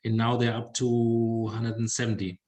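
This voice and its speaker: A man's voice: medium pitch, little pitch movement, moderate pace, a little mellow. Hesitant man on a call. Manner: hesitant, many fillers. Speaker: German tutor, podcast guest. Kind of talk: casual video call chat.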